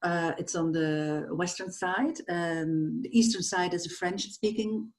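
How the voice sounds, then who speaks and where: Flemish-accented woman, interview guest, lower pitch, thoughtful and hesitant delivery. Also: steady tone, measured pace. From Belgium, Flanders. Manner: thoughtful, many fillers, light self-deprecating laughs. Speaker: guest, translator. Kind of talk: casual interview chat.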